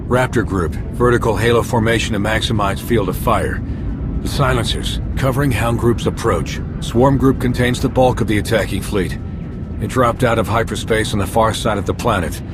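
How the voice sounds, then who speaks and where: A man playing a military fleet admiral in a sci-fi video game, giving tactical orders in a crisp, commanding way. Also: lowish voice, close to an everyday voice. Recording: loud music behind.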